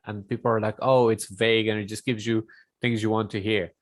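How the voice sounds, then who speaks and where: A man's voice: lowish voice, flat delivery, dry recording. Man chatting loosely in a dry studio sound. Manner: loose, halting talk peppered with "like". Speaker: podcast guest. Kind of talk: casual chat.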